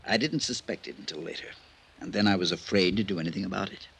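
A very low, rough-edged male voice, a tired middle-aged country doctor in a radio mystery. He sounds halting and worn, like a man confessing. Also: a bit bright, heavily acted. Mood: remorseful. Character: harried, worn-out village doctor under sixty, remorseful drunk. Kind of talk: old time radio drama acting.

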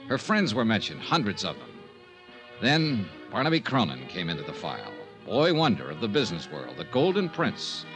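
An actor playing a brisk, skeptical American insurance investigator in an old-time radio mystery, with punchy, clipped, dramatic phrasing. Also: male voice, lowish voice, wildly swooping pitch, low-quality recording. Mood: dramatic, storytelling. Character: brisk, skeptical male insurance investigator, hard-boiled and wry. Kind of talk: old-time radio detective acting and narration. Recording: old radio recording, music bed.

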